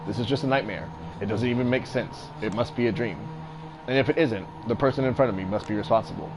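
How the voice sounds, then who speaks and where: A man with a fairly deep voice reading a text game aloud, casual, swearing now and then and commenting on the writing. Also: fast talker. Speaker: streamer playing a text adventure game. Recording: noisy background hiss.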